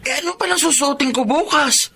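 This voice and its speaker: A man's voice: extremely high pitch, brisk, gravelly, bright, forceful tone, slight background noise, full character voice. An actor with a Filipino accent playing an insecure, status-conscious young man in a radio drama. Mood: whining, indignant. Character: young son and boyfriend, status-conscious, insecure about money.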